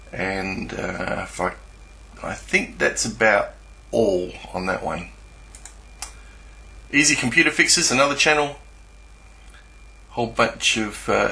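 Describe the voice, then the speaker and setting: Low gravelly man, halting screen commentary. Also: pushed and bright. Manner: hesitant, halting, searching for words. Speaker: YouTuber presenting a screen walkthrough. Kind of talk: unscripted screen-share commentary.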